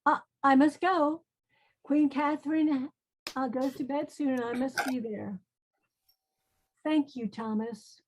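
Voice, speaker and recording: Condemned queen acted by a woman, high voice, earnest, proud, devout, measured play-reading delivery. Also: fairly even intonation. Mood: wistful, earnest.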